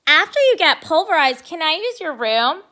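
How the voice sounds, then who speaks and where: A cheeky little girl put on by a woman reading aloud, high and bright. She is the teasing little sister of a storybook, playing up every word. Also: big pitch swings, moderate pace, full character voice. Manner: teasing, exaggerated.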